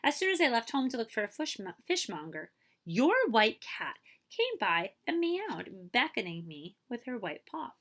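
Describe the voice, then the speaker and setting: A woman's voice: a little high, very animated, moderate pace, fairly bright tone. A woman voicing a kindly, astonished old male merchant in a storybook, emphatic and full of wonder. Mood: wondering, grateful.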